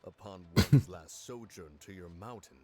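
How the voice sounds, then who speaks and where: A man voicing a grave, courteous immortal in human form for a video game, speaking in measured archaic phrases. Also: deep voice, dark tone, faint music behind. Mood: pointed.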